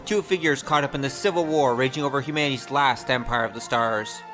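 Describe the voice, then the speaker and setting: A man's voice: mid-range voice, a little fast. A man announcing an audio drama in a scripted, formal, declamatory way. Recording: music behind the voice.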